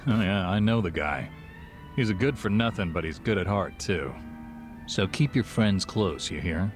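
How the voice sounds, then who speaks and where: An actor playing an easygoing middle-aged bartender with a knowing, gruff manner, in a video game dub. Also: male voice, deep voice, animated, moderate pace. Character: laid-back middle-aged male bartender. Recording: game cutscene with music behind.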